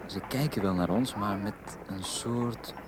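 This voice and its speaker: An actor playing a shy, earnest English aristocrat of very small stature in a radio drama, speaking in a hesitant, courteous, tender way. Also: male voice, lowish voice, measured pace, background noise, lightly acted. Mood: quiet wonder. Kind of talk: Dutch-language radio drama acting. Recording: radio play with music behind.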